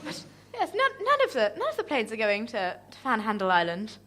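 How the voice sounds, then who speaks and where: A woman's voice: fairly high pitch, huge pitch swings, a little fast, fairly bright tone, exaggerated voice. A woman playing a dismayed, vain, genteel traveller in an improvised comic radio play, recorded live. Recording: live, audience noise.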